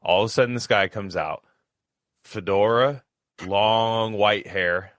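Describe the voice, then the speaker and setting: Low voiced guy, kinda punchy and bright, rambling slangy podcast storytelling, real dry sound. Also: unhurried, no echo. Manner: rambling, slangy, repeats himself. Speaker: podcast guest telling his story. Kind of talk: casual conversational storytelling.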